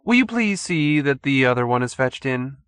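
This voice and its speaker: A man's voice: medium pitch, sing-song, normal speed, fairly bright tone, clean tone, no echo, voice pushed up. A man voicing a haughty, urbane cult leader in an audiobook, pompous and sternly courteous. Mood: impatient. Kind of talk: audiobook character acting.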